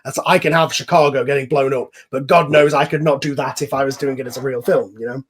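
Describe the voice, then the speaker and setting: A man's voice: medium pitch, steady tone, quick pace, breathy edge. A man hosting a casual online group chat, explaining things at length in an enthusiastic, informal, rambling way. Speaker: host and series creator. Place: online voice call.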